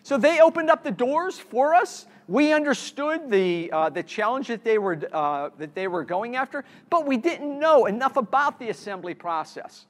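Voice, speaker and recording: Halting high-voiced man giving a keynote. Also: lively intonation. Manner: halting, repeats words and restarts often. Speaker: conference keynote speaker. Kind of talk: conference talk with slides. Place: conference room.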